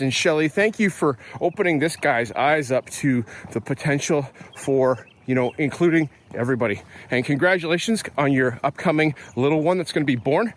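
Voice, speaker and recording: A middle-aged Canadian man hosting a podcast, talking casually with many fillers and restarts while out on an early morning walk. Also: a little high. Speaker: podcast host. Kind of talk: casual spoken reflection.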